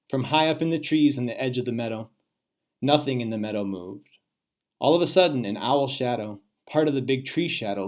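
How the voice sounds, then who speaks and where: Man reading a children's storybook aloud. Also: medium pitch.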